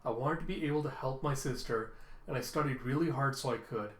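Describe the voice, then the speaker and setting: A man voicing a proud, excitable young secret policeman and tutor from a cartoon, condescending and theatrical in manner. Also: mid-range voice, fairly quick, natural voice. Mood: earnest, lecturing. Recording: roomy, echoing room sound.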